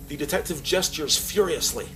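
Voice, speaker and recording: A man's voice: a little high, expressive, moderate pace, fairly bright tone, faint music behind, poor audio. A man narrating a scripted audio drama with dramatic, present-tense storytelling.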